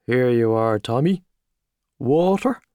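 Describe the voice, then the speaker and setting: A man voicing a boastful, hearty Irish journalist, talking loudly and expansively over drinks. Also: medium pitch, animated, slow pace, smooth, no room sound, studio quality. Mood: boisterous. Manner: loud, expansive, back-slapping.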